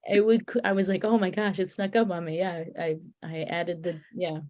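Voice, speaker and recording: A woman's voice: fairly low pitch, little pitch movement, normal speed, dark tone, lo-fi sound. A woman with a Connecticut accent, a guest chatting casually and rambling with restarts. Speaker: podcast guest, beer comic artist. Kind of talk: casual chat.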